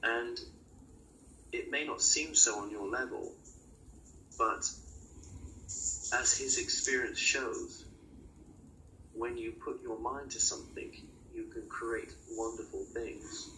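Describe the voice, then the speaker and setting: A man delivering a spiritual channeling monologue as a guide, in halting, oracular short phrases with many pauses. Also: medium pitch, fairly even intonation, pushed and bright. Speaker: channeled guide speaking in a session. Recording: roomy, distant mic with clear noise.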